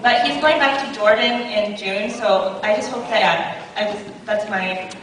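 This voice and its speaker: A woman's voice: medium pitch, normal speed, fairly bright tone. A young woman giving a personal testimony to an audience, chatty and hesitant, with fillers and nervous laughs. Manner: hesitant, chatty, laughs through awkward parts. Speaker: conference attendee giving testimony. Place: large indoor hall. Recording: very echoey hall, distant mic, crowd and noise.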